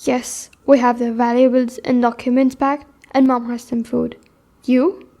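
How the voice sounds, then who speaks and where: Woman acting young refugee daughter, high mellow voice, earnest, candid, anxious, radio drama. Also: flat delivery, measured pace. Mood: practical.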